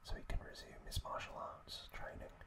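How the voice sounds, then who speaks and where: A man narrating trivia in a hushed ASMR style, unhurried and full of pauses. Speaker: host.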